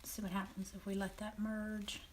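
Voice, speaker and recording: A woman with a Southern American accent and a raspy, half-whispered voice, calmly talking through a painting demonstration and often clearing her throat. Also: mid-range voice, slight background noise. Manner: unhurried, thinking aloud while painting, frequent throat clearing. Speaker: painting teacher.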